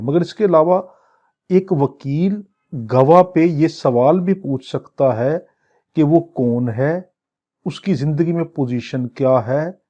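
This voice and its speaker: A man giving a law lecture, reading English statute lines slowly and then explaining them. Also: on the high side, mellow, highs cut off.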